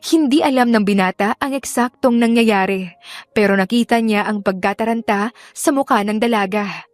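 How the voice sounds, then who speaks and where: A woman's voice: medium pitch, normal speed, dry recording, faint music behind. A woman narrator reading scripted romantic fiction as dramatic storytelling. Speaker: audiobook narrator.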